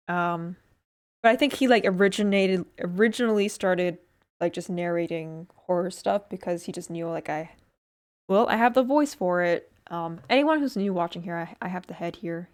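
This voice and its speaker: A woman streamer chatting casually while building a model kit, rambling with lots of fillers and little laughs. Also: fairly low pitch. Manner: rambling, filler-heavy, giggly, self-deprecating.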